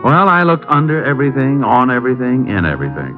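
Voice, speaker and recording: A man's voice: medium pitch, huge pitch swings, fast, phone-quality sound, soft background music. An American man playing a breezy, teasing, cool-headed private detective in an old-time radio drama. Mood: wry narration. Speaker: lead actor and narrator. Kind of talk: 1940s-50s radio detective acting.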